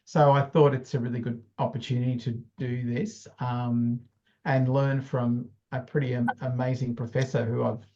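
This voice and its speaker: A man talks in a casual, unscripted way as he introduces himself to a group. His pitch is mid-range. The sound is dull and lo-fi. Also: little pitch movement, normal speed, dark tone. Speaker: course participant, dentist.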